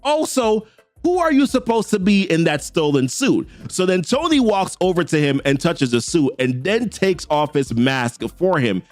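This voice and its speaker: A man's voice: high-pitched, sing-song, no room sound, faint music behind. A young man with a Jamaican accent, recapping a comic story in a casual, slangy way. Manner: chatty, slangy, reads character lines in quick quotes. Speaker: comic book channel host.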